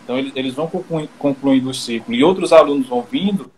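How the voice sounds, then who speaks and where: A man's voice: mid-range voice, fast, a little mellow, slight background noise, rough recording. A Brazilian man hosting a podcast, asking long, winding questions in an engaged, conversational manner. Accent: Brazilian Portuguese. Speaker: podcast host. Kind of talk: casual interview talk.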